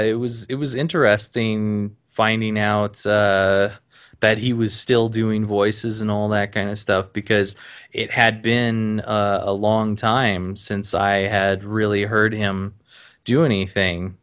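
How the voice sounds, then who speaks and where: Low voiced guy hosting a chat podcast, measured, lots of ums. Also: level tone, a bit bright, clear, resonant tone. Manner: measured, many ums and restarts. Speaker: podcast host.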